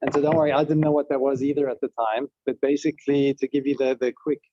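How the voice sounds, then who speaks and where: A man's voice: mid-range voice, flat delivery, fast, dark tone, a little hiss or hum. A man with a South African accent giving a conversational talk at a community event, often stopping and restarting his sentences. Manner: conversational, with frequent restarts and fillers. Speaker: guest speaker, tech CEO. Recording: muffled, dull event stream.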